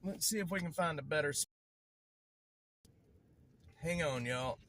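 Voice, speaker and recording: A man's voice: on the high side, a little slow, a bit bright. A man with a stutter, chatting casually on a livestream from a city street while moving around, rambling and repeating himself.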